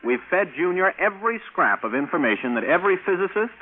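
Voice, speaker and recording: Brash bachelor space captain, vintage radio sci-fi. Wry, exasperated, lively swings in pitch, tinny sound. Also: male voice, fast, slight background noise. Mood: frustrated. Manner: animated, conversational, wry. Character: brash, exasperated bachelor rocket pilot and scientist. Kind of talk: 1950s radio acting.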